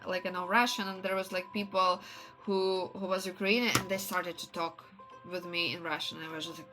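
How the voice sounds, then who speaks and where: A woman livestreamer with a non-native English accent, chatting casually and haltingly as she searches for words. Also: lowish voice, flat delivery, music in the background, low-quality recording. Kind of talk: casual chat stream.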